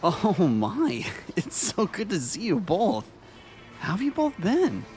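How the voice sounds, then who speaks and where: A man playing a gentle, polite former school vice-principal in an audio drama, with courteous, formal and caring phrasing. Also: high voice, huge pitch swings, takes it slowly, faint music behind, exaggerated voice. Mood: delighted. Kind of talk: audio drama acting of fan fiction.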